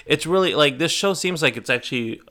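A man's voice: fairly high pitch, animated, fairly quick, bright, forceful tone. A man in his thirties hosting a podcast, rambling and correcting himself as he chats casually. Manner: rambling, self-correcting, chatty. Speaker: podcast host. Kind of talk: casual chat.

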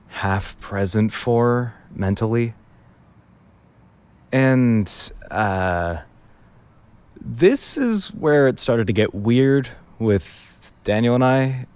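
A man's voice: medium pitch, animated, takes it slowly, a little mellow, clean tone. A man playing a wry, grumbling young man in naturalistic audio drama, conversational and dryly sarcastic. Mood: hesitant, reflective.

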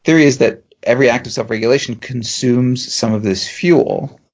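A man, a professor co-hosting a podcast, explaining ideas in a measured way with dry humour. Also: medium pitch, a little mellow, muffled recording. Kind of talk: explanatory chat.

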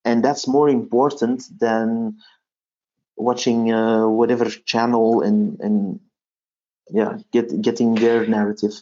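A man's voice: fairly low pitch, level tone, slow pace, dark tone, lo-fi sound. A Belgian man with a Flemish accent, a native Dutch speaker who hosts a history podcast, chatting casually in an interview and often restarting his sentences with fillers. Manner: hesitant, many restarts and fillers.

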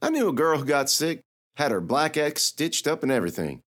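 A man voicing a defiant, sharp-tongued young girl with one arm, speaking in a cheeky, needling way. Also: fairly high pitch, big pitch swings, fairly quick, pitched above the performer's own voice.

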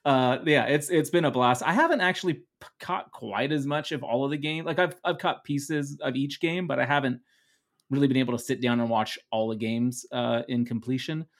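A man from the Netherlands, co-hosting a casual sports podcast, easygoing and quick to laugh. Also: medium pitch. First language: Dutch. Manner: easygoing, laughs often, repeats words. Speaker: co-host.

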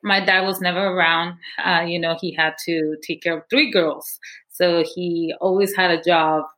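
Woman guest from Guatemala, lower register, candid storyteller. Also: fairly even intonation, moderate pace, fairly bright tone, low-quality recording. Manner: candid, with frequent fillers. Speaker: guest, movement founder. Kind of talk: personal story interview.

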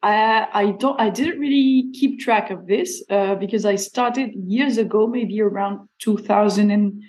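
A woman's voice: medium pitch, a little slow, few pauses, a little mellow, poor audio. Hesitant French-accented woman. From France. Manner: hesitant, many fillers and restarts, laughs often. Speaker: guest musician. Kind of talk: casual interview chat.

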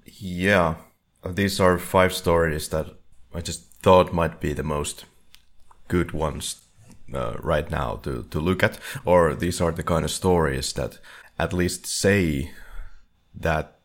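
A man co-hosting a casual analytical discussion, speaking in a halting, hedging way. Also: sits low. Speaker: podcast co-host.

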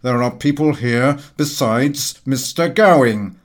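A man voicing a rude, surly householder in a comic audiobook, speaking gruffly with indignant emphasis. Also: medium pitch, slow, lightly acted. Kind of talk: audiobook character voice, comic Victorian novel.

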